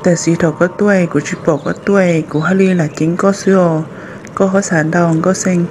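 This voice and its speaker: A man voicing a high-voiced excitable cartoon man. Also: brisk, background noise, natural voice. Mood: lively. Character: adult man in a folk-tale cartoon. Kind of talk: cartoon voice acting. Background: music.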